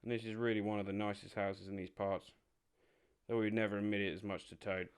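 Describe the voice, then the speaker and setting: A man voicing a cheerful, confident male water rat who speaks briskly. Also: lowish voice, little pitch movement, clear, resonant tone, close to an everyday voice. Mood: informative.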